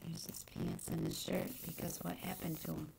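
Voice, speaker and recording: A middle-aged woman reads a children's book aloud in deliberate short phrases, pausing to put questions to young listeners. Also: deep voice, monotone, creaky, a little mellow, slight background noise. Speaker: teacher reading a picture book aloud. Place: indoor room in a home.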